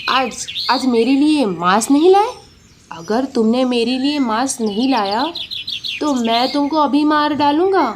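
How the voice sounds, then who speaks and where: A woman voicing a hot-tempered, greedy male lion in a children's animated story, threatening and demanding. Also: sits high, unhurried, noisy background.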